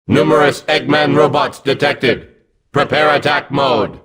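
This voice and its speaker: A man voicing a hulking combat robot in a video game, gruff and mechanical, giving clipped, vengeful commands. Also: mid-range voice, a little slow, a bit bright. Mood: cold, commanding.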